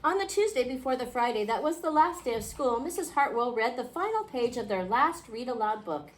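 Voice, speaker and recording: Lady reading a kids book out loud to a class, highish voice, expressive, bit of noise behind her. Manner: expressive, pausing to question young listeners. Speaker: children's storybook reader. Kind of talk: read-aloud of a picture book to a class. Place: indoor school classroom. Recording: clearly noisy classroom recording.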